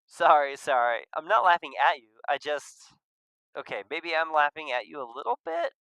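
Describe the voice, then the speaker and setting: A man's voice: a little high, very animated, moderate pace, creaky, pushed and bright, smooth, no echo, pitched above the performer's own voice, full character voice, poor audio. A man voicing a nervous, lovestruck young man in a visual novel, talking in an informal, self-conscious way. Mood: giddy, apologetic. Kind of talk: visual novel character read-aloud.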